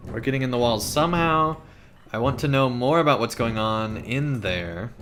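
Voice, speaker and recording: A man's voice: moderate pace, over music. A man casually commenting on a story game, thinking aloud with fillers and restarts, and sometimes reading the game's text aloud. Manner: rambling, thinks aloud with fillers and self-corrections; voice sometimes jumps very high when joking. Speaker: let's play gamer.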